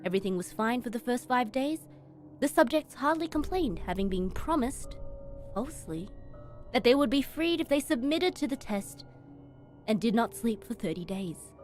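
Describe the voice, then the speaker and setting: A woman's voice: a little high, lively intonation, normal speed, a little mellow. Woman reading horror aloud over music. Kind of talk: dramatic read-aloud narration of a creepypasta. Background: background music.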